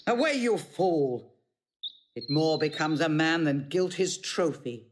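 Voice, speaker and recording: A woman's voice: low voice, big pitch swings, takes it slowly, a little hiss or hum, exaggerated voice. An actress playing a fierce, proud older Roman mother in a Shakespearean audio drama, imperious and declamatory. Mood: fierce, scornful. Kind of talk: classical Shakespearean stage acting.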